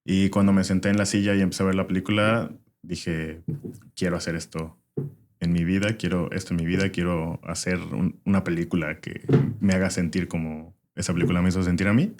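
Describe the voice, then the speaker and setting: A young man born in Venezuela talks in a very low voice, relaxed and unhurried. He fills his pauses with "este" as he tells his story in a casual interview. A faint hiss sits under the recording. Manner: relaxed, frequent "este" fillers. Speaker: podcast guest, former filmmaker.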